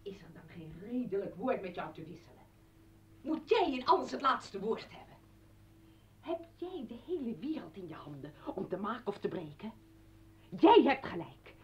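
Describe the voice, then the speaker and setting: An indignant mother facing down her estranged husband in a radio drama. Her husky, highish voice swings widely, accusing and biting with sarcasm. The old recording sounds dulled. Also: lo-fi sound. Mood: accusing, sarcastic. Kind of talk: dramatic radio play acting.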